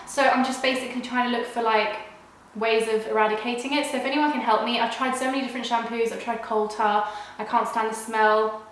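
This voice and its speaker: A woman's voice: fairly high pitch, fairly bright tone, a little hiss or hum. A young woman vlogging from home while ill, nasal and rambling, with lots of "like" and restarts. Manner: rambling and chatty, full of "like" and restarts. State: unwell with a cold. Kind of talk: casual chat to camera. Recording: very echoey room.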